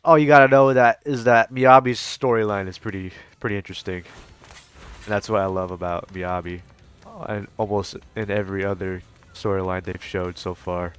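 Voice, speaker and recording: A man's voice: fairly low pitch, little pitch movement, moderate pace, clean tone, soft background music. A man giving casual commentary while playing a video game as a streamer.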